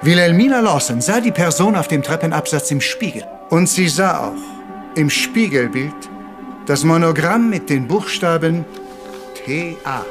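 Ceremonious little detective, higher-pitched voice. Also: male voice, expressive, over music. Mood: measured, revealing. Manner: formal, deliberate revelations sprinkled with French phrases. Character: shrewd, ceremonious little Belgian detective, theatrical and stern. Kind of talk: 1980s-style radio crime drama acting.

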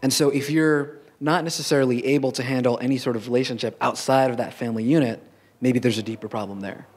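Young male panelist, frank and measured, handheld mic on stage. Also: mid-range voice, steady tone, slightly rough. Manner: frank, measured, reasoning aloud. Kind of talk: panel discussion. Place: stage in a large auditorium.

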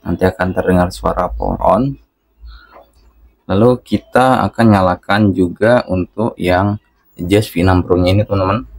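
Man, low pitch, dry sound, casual instructional tone, hands-on review narration. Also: steady tone, moderate pace, darkish tone, no room sound. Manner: casual, instructional, addressing viewers as friends. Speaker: product reviewer.

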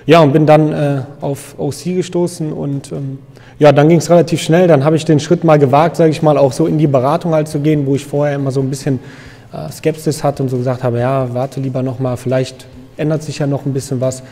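A man's voice: a little high, little pitch movement, a little fast, warm tone, in a large hall. Man in his thirties, lots of fillers. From Germany. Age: 30s. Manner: hesitant, many fillers. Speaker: guest. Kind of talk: casual interview chat. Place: photo studio with grey backdrop.

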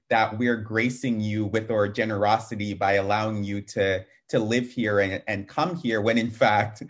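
A man's voice: lowish voice, fairly bright tone. A young man on a video call with a headset mic, speaking earnestly as a panel speaker, with frequent ums. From Canada. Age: young adult. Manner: earnest, fluent with many ums. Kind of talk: webinar talk. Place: small room at home.

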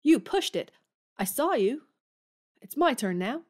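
A woman's voice: high voice, big pitch swings, unhurried, darkish tone, full character voice. An actress playing a bold, blunt young woman in an audio drama, speaking forthrightly.

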